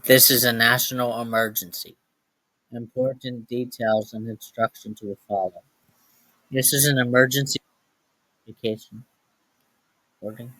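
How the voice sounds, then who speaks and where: A man playing a solemn official emergency alert announcer, speaking formally and deliberately in halting phrases. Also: medium pitch, fairly even intonation, bright, forceful tone, rough recording. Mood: grave, official.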